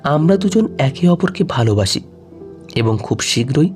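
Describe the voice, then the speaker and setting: Guy doing a distraught young woman in an audio story, pleading and insistent, soft mellow voice, faint music. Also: on the high side, expressive, unhurried. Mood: anxious, explaining.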